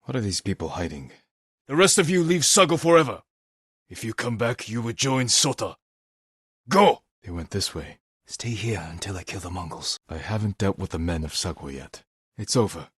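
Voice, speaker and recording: An actor playing a stern, brooding samurai lord in a video game, speaking in measured, grave, clipped phrases. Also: male voice, on the low side, lively intonation, a bit bright, breathy edge, no room sound, studio quality. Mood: commanding fury. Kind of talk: dramatic video game voice acting.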